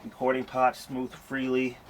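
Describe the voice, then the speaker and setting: A man narrating a casual home walkthrough, taking his time and wondering aloud when he is unsure. His pitch sits in a middle range. Faint noise hums under the camera mic. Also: completely flat delivery. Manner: unhurried, thinking aloud, unsure. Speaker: hobbyist showing a tape recorder.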